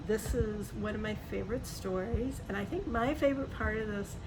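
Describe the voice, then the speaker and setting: A woman's voice: medium pitch, moderate pace. A woman reading a picture book aloud to young children, adding chatty asides, simple explanations and questions to the listener. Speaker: storybook reader for young children. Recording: clearly noisy background.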